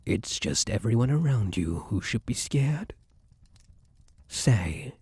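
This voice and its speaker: A man's voice: mid-range voice, creaky, darkish tone, breathy edge, no echo, clean studio sound, full character voice. A man playing Luck itself as a possessive, smug, suavely menacing suitor in a theatrical ASMR roleplay, coaxing the listener with old-fashioned flowery endearments.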